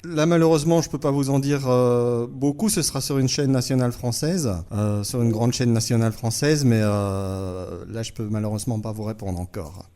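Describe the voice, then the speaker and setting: Man posing as mellow, hesitant TV producer. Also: mid-range voice, fairly even intonation, moderate pace, few pauses, natural voice. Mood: polite, businesslike. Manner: hesitant, repeats words. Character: fake TV producer, businesslike, hesitant, easily annoyed prankster's put-on. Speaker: radio host making prank call. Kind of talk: prank phone call, semi-formal pitch.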